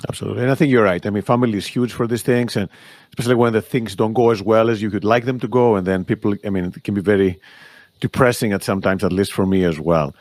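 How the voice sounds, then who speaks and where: A man's voice: fairly low pitch, fast talker, darkish tone, no echo. A man hosting a podcast, agreeing with his guest and asking follow-up questions. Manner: agreeable, supportive interviewer. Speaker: podcast host. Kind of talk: casual interview questions.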